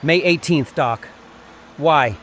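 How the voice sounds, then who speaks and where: A man playing a steady, practical army sergeant in a horror audio comic. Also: a little high, slow. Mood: sympathetic. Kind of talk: dramatic audio comic acting. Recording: noisy vehicle sound effects behind.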